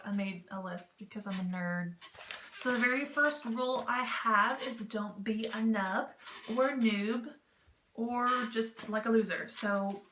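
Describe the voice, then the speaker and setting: A woman's voice: medium pitch, fairly bright tone. A woman with a mid-range voice hosts a casual explainer vlog. She rambles informally, with jokey, self-deprecating asides. The recording is echoey, with noise behind her. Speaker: vlog host giving tips on written role-playing. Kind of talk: casual, chatty explainer to camera. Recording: roomy echo with clear background noise.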